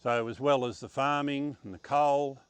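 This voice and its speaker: A man's voice: medium pitch, steady tone, moderate pace, smooth, no room sound, lightly acted. A man playing a theatrical old-time preacher. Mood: grandiose. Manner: theatrical, declaiming. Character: flamboyant fire-and-brimstone preacher, grown man. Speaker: costumed historical preacher. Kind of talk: history talk in character.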